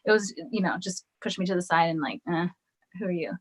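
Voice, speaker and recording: A woman who is a musician guest on a podcast, answering in a reflective, hesitant way with many pauses and restarts. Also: lowish voice. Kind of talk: casual interview chat.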